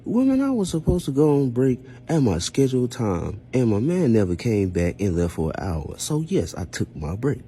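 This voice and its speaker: A man's voice: mid-range voice, huge pitch swings, normal speed, few pauses, mellow, slight background noise. A man telling a casual first-person story in an aggrieved, emphatic manner, throwing out rhetorical questions and acting out exchanges. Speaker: storyteller recounting own experience.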